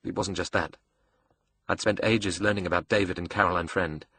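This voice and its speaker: A man's voice: sits low, steady tone, a little fast, bright, forceful tone, a little hiss or hum. A man voicing a cocky, sadistic young villain in an audiobook, brash and sarcastic, with a South African accent. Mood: bitter, angry. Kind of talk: audiobook character dialogue.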